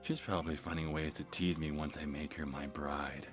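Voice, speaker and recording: Man performing an obsessive male stalker, low-pitched, nervous halting delivery, creepy infatuation, ASMR horror storytelling, background music. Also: steady tone, a bit bright. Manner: halting, stammering, breathy sighs and self-corrections. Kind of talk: first-person horror story in ASMR manner.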